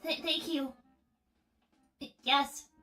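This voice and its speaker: A woman's voice: high-pitched, steady tone, drawn-out words, bright, forceful tone, faint music behind, heavily acted. A woman voicing a young robot girl in a livestream roleplay, solemn, withdrawn and formal in her speech. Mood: resigned. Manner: formal, precise, subdued. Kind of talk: improvised in-character livestream roleplay.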